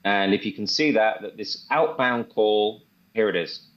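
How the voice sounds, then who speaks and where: A man's voice: fairly low pitch, unhurried. Man presenting a demo, dull lo-fi audio. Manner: enthusiastic, repeats himself. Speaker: presenter demonstrating software. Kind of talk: casual live walkthrough. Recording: dull lo-fi online call audio.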